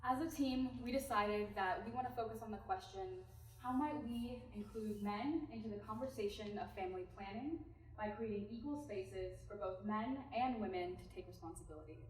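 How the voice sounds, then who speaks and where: A woman from California presents a team pitch. She speaks to the room directly and engagingly, at a mid pitch. The recording sounds echoey, with the mic set far away. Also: moderate pace, a little hiss or hum. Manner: engaging, addresses the audience directly.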